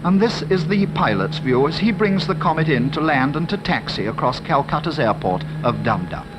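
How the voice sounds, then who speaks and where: A man's voice: a little high, animated, normal speed, heavy background noise. A man with a British accent giving formal, scripted 1950s newsreel narration. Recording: archive newsreel voice-over with music behind.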